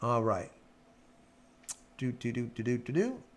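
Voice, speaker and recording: A man with a raspy voice hosting a live stream, casually chatting and reading out viewer comments, rambling with lots of fillers. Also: mid-range voice, sing-song, a little slow. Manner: rambling, filler-heavy, hums while scrolling.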